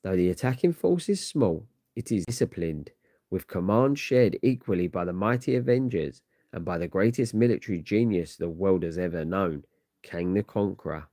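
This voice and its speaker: A man's voice: low-pitched, animated, moderate pace, warm tone, no room sound, clean studio sound. A man reading a superhero comic aloud as a dramatic narrator. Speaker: comic read-along narrator.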